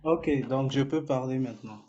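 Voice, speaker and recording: A man's voice: medium pitch, moderate pace, dark tone. Man explaining in a lo-fi debate. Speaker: debate participant. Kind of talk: casual debate, reading out and explaining text.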